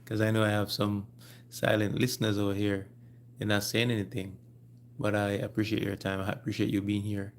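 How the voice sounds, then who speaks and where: A man with a Jamaican accent hosting a casual live stream, speaking haltingly and repeating his phrases. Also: lowish voice, monotone, slight background noise. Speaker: livestream host.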